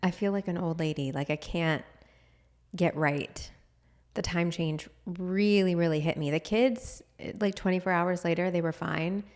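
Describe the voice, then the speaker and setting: A woman in her thirties co-hosting a podcast, slightly hoarse with a froggy throat, chatting casually with frequent laughs and lots of "like". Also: lowish voice, a little slow, darkish tone. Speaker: podcast co-host. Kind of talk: casual chat.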